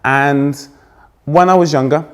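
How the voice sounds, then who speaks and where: Young English male keynote speaker, fairly posh accent, loud, energetic, teases the crowd. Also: on the high side, a little slow, clean tone. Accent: trained-out Essex, now fairly posh English. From England. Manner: energetic, joking with the audience, self-deprecating. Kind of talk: conference keynote talk. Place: stage before a live audience.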